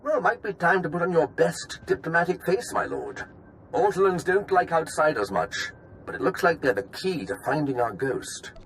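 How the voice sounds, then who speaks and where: A male actor playing an enthusiastic, scholarly military lieutenant in a video game, chatty, expressive and polite. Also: fairly high pitch, moderate pace, a little hiss or hum, low-quality recording. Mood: courteous, obliging.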